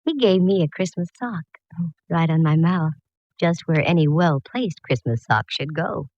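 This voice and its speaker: A woman's voice: low-pitched, animated, a little slow, a little mellow. An actress playing a plucky, wry female private eye, narrating in the style of a 1940s radio drama. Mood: wry, playful. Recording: dull, dry vintage radio recording.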